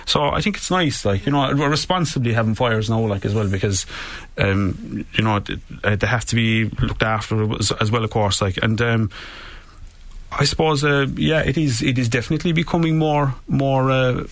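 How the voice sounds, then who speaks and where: A man's voice: medium pitch, normal speed, no echo. A man, talking as a radio guest about local customs, rambling with many fillers and restarts. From Ireland. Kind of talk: casual interview chat.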